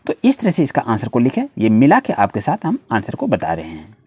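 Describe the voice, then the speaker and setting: A tutor with an Indian accent and a husky, very swooping voice, recorded close and dry. The delivery is explanatory, speaking straight to students while reading out questions and answers, and it gets halting on English passages. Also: medium pitch, huge pitch swings, mellow, dry recording. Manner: addresses students directly, repetitive explanatory phrasing.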